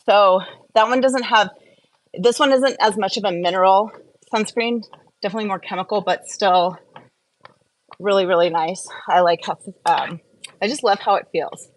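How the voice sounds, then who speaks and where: A woman's voice: mid-range voice, moderate pace, slight background noise, rough recording. A woman vlogging in a casual, chatty question-and-answer and review style, rambling and often interrupting herself as she gets distracted. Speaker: skincare and wellness vlogger.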